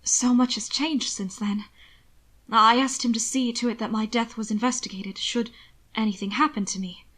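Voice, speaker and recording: Woman voicing a weary, courteous gentleman. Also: on the high side, steady tone, normal speed. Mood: apologetic, tender. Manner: courteous, earnest, subdued. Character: weary, polite, earnest gentleman, gently firm. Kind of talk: audiobook character dialogue.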